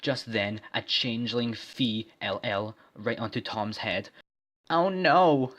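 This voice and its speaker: A man reading a story as a deliberately bad parody narration, garbling and mispronouncing words on purpose. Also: fairly low pitch, level tone, sharp tone. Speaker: fan fiction reader.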